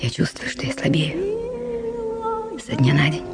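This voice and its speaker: A woman's voice: deep voice, sing-song, measured pace. An actress playing an anguished, devoted young noblewoman in a Russian radio drama, with an intimate, pleading delivery. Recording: music behind the voice.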